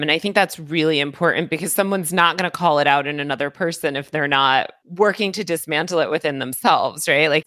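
A woman's voice: low voice, a bit bright, dry recording, studio quality. A woman co-hosting a podcast, casually building up long questions for a guest. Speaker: podcast co-host. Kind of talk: conversational interview questions.

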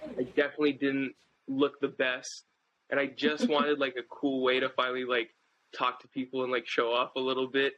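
A man's voice: medium pitch, monotone, moderate pace, lo-fi sound, a little hiss or hum. A twenty-one-year-old man from Southern California who plays rhythm guitar, rambling in a laid-back way and filling his talk with "like.". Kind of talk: casual interview chat.

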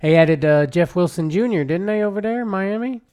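A man in his thirties, a guest on a sports podcast, chatting in a relaxed way and laughing easily. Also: sits high, a little fast, smooth. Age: 30s. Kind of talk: casual sports chat.

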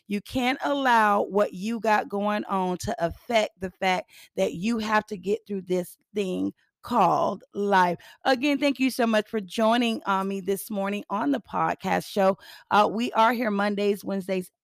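Hoarse, gravelly woman podcast host. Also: medium pitch, a little slow, no echo, clean studio sound. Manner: preachy, repeats phrases for emphasis. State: hoarse, raspy from singing. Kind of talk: upbeat motivational podcast talk.

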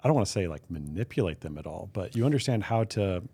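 Low-voiced reflective podcast host man. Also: animated, quick pace, dark tone, breathy edge, no echo. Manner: reflective, affirming interviewer. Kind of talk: podcast conversation.

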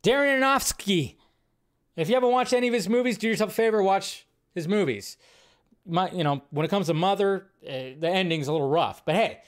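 A man hosting a movie news show, giving casual, rambling fan commentary in an informal, thinking-out-loud way. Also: high-pitched, brisk.

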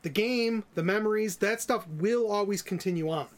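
Male podcast co-host, notably high-pitched voice, opinionated in loose, casual debate. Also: moderate pace.

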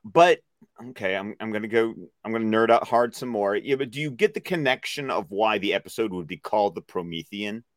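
A man co-hosting a casual discussion show, explaining references in an enthusiastic, nerdy, halting way. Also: on the low side, dry recording, clean studio sound. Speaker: co-host of animated series discussion show.

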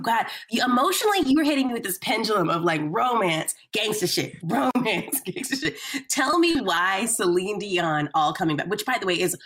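A woman hosting a casual chat, playful and teasing as she asks questions and tells stories. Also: medium pitch. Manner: playful, teasing, lively storyteller. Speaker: podcast host.

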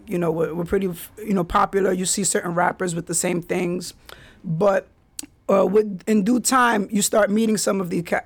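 A man hosting a podcast, telling stories in a laid-back way with street slang. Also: sits high. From the Bronx, New York. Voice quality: raspy. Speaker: podcast host. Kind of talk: casual solo podcast storytelling.

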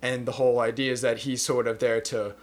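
A man's voice: mid-range voice, little pitch movement, fairly quick. Man casually summarizing a film plot. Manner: rambling, hedges with "kind of". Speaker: podcast co-host.

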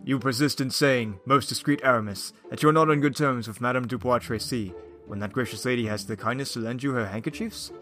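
A man's voice: mid-range voice, brisk, fairly bright tone, faint music behind, natural voice. A man voicing a jovial young guardsman with a mocking, exclamatory way of talking, in a dramatized storybook reading. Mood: teasing.

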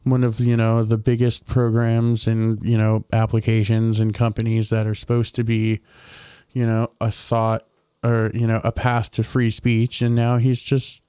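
A man's voice: on the low side, completely flat delivery, measured pace, mellow, clear, resonant tone, dry recording, studio quality. A man co-hosting a casual podcast, drawling and hedging with lots of filler words. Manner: drawling, hedging with "you know" and "like". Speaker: podcast co-host.

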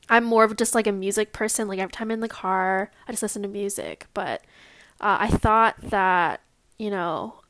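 High-voiced young Californian woman podcasting. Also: fairly bright tone, dry recording, studio quality. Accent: Californian American. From Long Beach, California, US. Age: 20s. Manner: candid, informal, slightly nervous. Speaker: podcast host. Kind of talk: casual personal podcast monologue.

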